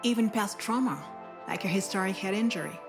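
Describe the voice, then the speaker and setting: An actress plays a tactful woman physician in a visual novel. She speaks in a measured, professional way at an average pitch. Music runs underneath her lines. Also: lightly acted. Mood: calm, clinical. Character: composed, polite woman doctor, admiring and tactful. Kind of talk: visual novel game voice acting. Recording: music behind the voice.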